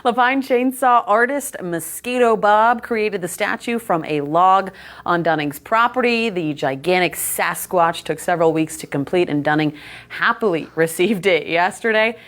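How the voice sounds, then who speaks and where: A woman's voice: on the low side, moderate pace, fairly bright tone. An American woman presenting a light local news story in a broadcast style.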